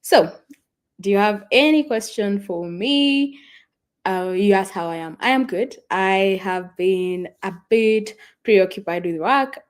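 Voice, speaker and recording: A young Kenyan woman with a Kenyan accent, whose first language is Swahili, chatting casually and rambling with fillers and soft laughs as she hosts a travel livestream from a friend's house. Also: medium pitch, expressive, takes it slowly. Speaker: travel vlogger hosting a livestream. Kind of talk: casual livestream chat with viewers.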